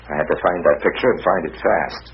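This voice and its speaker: A man's voice: sits low, fast talker, breathy edge. An actor playing a hard-boiled private eye who narrates his case in dry, clipped sentences, in a vintage radio drama. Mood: grim. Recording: muffled old radio recording.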